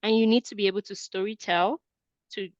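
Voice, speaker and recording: A woman's voice: medium pitch, fairly even intonation, brisk, dry recording. Woman from Cameroon, thirties, webinar presenter, tag questions, dry muffled call audio. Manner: peppers points with tag question "right?". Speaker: webinar presenter and admissions coach.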